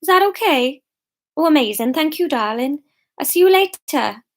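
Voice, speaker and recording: A narrator plays a scorned Welsh shop worker in an audiobook. She is fierce and wounded, with a high-pitched female voice. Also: lively intonation, normal speed. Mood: sweet, casual. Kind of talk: audiobook character dialogue.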